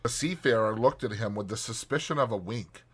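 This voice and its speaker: A man's voice: lowish voice, brisk, slightly rough. A man reading scripted, dramatized narration as an audiobook narrator.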